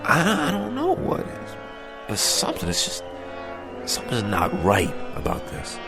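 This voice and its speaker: Low-voiced, hesitant ageing boxer. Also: male voice, wildly swooping pitch, music in the background. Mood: uneasy, puzzled. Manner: hesitant, halting, repeating words. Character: dazed, doubting ageing boxer, weary and gentle. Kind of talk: radio drama acting.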